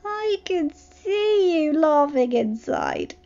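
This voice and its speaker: A woman's voice: high-pitched, huge pitch swings, slow pace, gravelly, dark tone, voice pushed up, heavily acted. A woman voicing a bashful, grumbling but curious young man in a story, hesitant and drawn out. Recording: muffled lo-fi recording, music behind.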